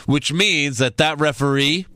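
A man's voice: fairly high pitch, bright, forceful tone, dry recording. A man with a slightly nasal voice, recovering from a sinus infection, recapping wrestling in casual podcast chat. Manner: recaps with quick asides and laughs. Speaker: podcast host.

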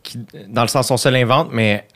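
A man with a Québécois French accent hosting a podcast, asking curious, halting questions with restarts. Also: mid-range voice, fairly bright tone. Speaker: podcast host. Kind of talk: casual interview.

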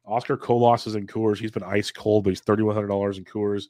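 Congested low-voiced man previewing sports picks. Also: little pitch movement, brisk, warm tone, no room sound, clean studio sound. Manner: conversational, answers live chat, many fillers. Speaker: fantasy sports analyst, show host. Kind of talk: casual solo sports betting preview.

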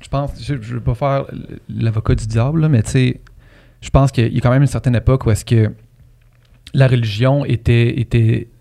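Gravelly, halting Québécois man. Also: medium pitch, fairly even intonation, dark tone. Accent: Québécois French. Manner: hesitant, halting. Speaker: podcast co-host. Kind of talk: casual podcast chat.

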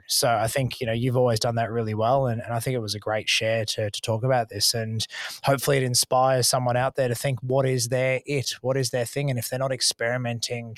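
Co-host, reflective and encouraging, casual, dry studio sound. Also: male voice, mid-range voice, dry recording. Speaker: podcast co-host. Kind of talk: casual podcast chat.

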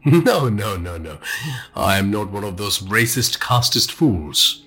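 A man voicing a sadistic male villain in an audiobook, with a silky, husky, slow and taunting menace. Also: lowish voice, big pitch swings, bright, forceful tone, soft background music, exaggerated voice. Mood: smug menace. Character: smooth-talking sadistic male villain taunting over a radio.

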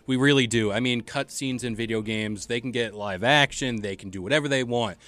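A man's voice: mid-range voice, brisk, fairly bright tone. A man hosting a casual gaming podcast, talking in a slangy, hyped-up way. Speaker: podcast host.

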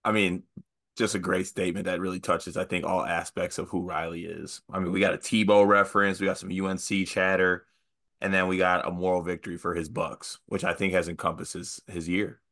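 A man's voice: sits low, level tone, a little fast, a bit bright. A man co-hosting a casual sports podcast, stuffed up with the sniffles, sounding nasal. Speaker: podcast co-host.

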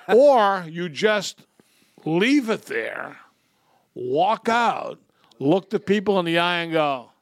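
A man co-hosting a podcast, telling crude comedic stories in a profane, animated way with frequent laughs. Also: sits high, drawn-out words, long pauses, dry recording, clean studio sound. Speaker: podcast co-host. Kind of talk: crude comedic storytelling and banter.

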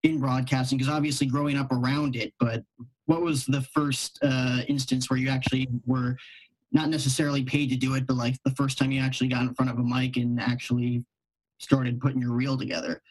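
A man's voice: medium pitch, flat delivery, fairly quick, poor audio. Young male podcast interviewer, mid-range voice, informal conversational delivery. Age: young adult. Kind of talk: casual chat.

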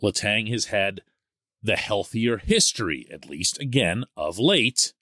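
A man hosting a sports podcast as a columnist, giving solo commentary in an animated, emphatic way. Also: lowish voice, no echo, clean studio sound. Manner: animated and emphatic, stresses key words.

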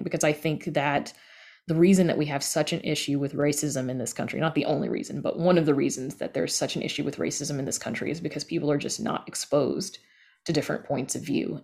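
Low-voiced American woman in conversation. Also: gravelly, mellow. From East Texas, United States. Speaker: podcast guest, audio drama creator. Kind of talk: casual interview conversation.

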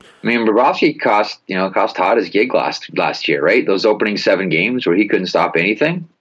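Male sports pundit, very deep register, casual but punchy delivery, slightly muffled lo-fi sound. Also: animated, moderate pace, fairly bright tone, husky. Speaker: guest hockey commentator. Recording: dull lo-fi sound.

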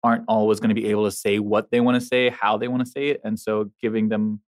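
A man's voice: fairly low pitch, little pitch movement. A man from the Middle East hosting a podcast, talking casually with fillers. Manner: casual, many fillers, quick agreement. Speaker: podcast host. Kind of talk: podcast conversation.

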